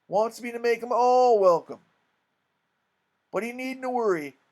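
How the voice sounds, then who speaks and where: A man reading aloud plays a self-important swindler from a storybook. He talks in a high voice, wheedling and long-winded, dressing up folksy grammar with learned airs as he passes himself off as an English gentleman. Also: a little slow. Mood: pious, smooth.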